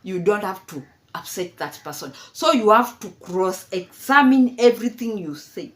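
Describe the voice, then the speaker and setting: A woman's voice: medium pitch, lively intonation, normal speed, a bit bright. A woman in her fifties from Kenya giving casual, mocking commentary, laughing often and repeating herself. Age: 50s. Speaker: commentary vlogger.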